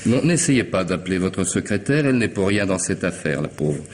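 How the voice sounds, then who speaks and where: An actor playing a coolly polite, intelligent hired killer in a radio mystery drama, speaking deliberately and sardonically, with commanding theatrical pauses. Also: male voice, deep voice, big pitch swings. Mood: cold, commanding. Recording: noisy old radio drama recording.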